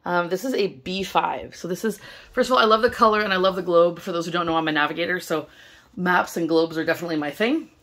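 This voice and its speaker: Hoarse woman chatting through a lost voice. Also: on the low side, quick pace. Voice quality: gravelly, strained. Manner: chatty, many fillers, excited. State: hoarse, losing her voice. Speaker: stationery vlogger. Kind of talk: casual unboxing vlog. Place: indoors at a table, filming overhead. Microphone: camera held close over the table.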